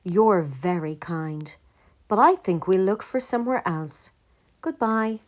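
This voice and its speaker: A woman voicing a kindly, protective male gander in a children's story, calm and courteous. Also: on the low side. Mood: polite, apologetic. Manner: measured, courteous.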